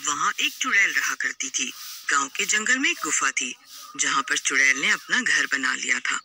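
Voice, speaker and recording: A man's voice: on the high side, expressive, normal speed, sharp tone, a little hiss or hum. A man narrating a scripted horror folk tale as a storyteller.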